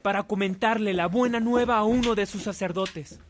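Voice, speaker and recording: A man's voice: high-pitched, normal speed, noisy background. A man with a Mexican accent narrating a radio drama from a script.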